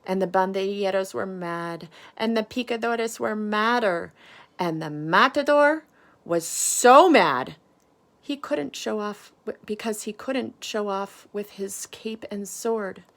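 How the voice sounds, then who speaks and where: Slow, expressive woman reading to children. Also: medium pitch. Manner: deliberate, dramatic emphasis, asks listeners questions. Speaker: teacher reading to pupils. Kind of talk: expressive picture-book read-aloud for children.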